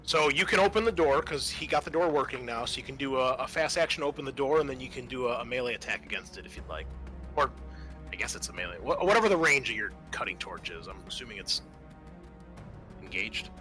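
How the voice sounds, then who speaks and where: A man's voice: mid-range voice, lively intonation, fast, bright, forceful tone, faint music behind. A man acting as game master for a tabletop roleplaying game, explaining rules and narrating the action casually and with animation. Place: group game session.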